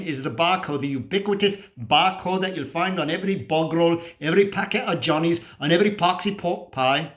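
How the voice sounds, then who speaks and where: Man playing feverish male doomsayer, cynical, scornful, slightly high voice, emphatic rhetorical ranting, stage-style monologue. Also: a bit bright, lightly acted. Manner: emphatic, preachy, sweary ranting with rhetorical questions. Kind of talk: dramatic monologue performance.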